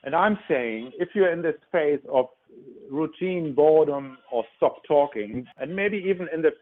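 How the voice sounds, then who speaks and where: A man's voice: on the high side, lively intonation, normal speed. A man in his sixties with a German accent, a relationship expert explaining his ideas in an interview in an earnest manner, often restarting his words. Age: 60s. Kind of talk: interview answers. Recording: phone-like narrow sound.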